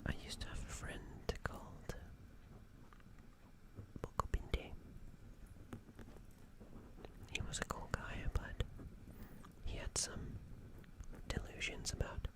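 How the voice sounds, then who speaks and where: A performer voicing a gentle, friendly alien in a breathy whispered ASMR roleplay, soothing and rambling, repeating words. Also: slow, long pauses, close to an everyday voice, rough recording. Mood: wistful, musing. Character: gentle friendly alien comforting a crashed human.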